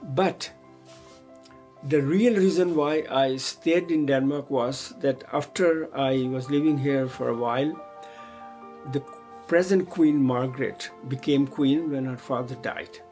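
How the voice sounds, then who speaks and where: An older man in a mid-range voice. He looks back on his life in a reflective, unhurried way, pausing often to hesitate. Faint music plays softly behind him. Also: mid-range voice. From Pakistan. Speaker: interviewee. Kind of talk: oral history storytelling.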